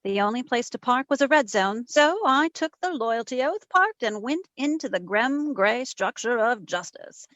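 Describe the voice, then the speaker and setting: Actress doing a wry radio detective gal, kinda high voice, teasing, dry muffled lo-fi sound. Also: female voice, lively intonation, normal speed, hardly any pauses, a bit bright, dry recording. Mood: wry storytelling. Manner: wry, teasing, affectionate endearments. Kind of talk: old-time radio detective acting and narration.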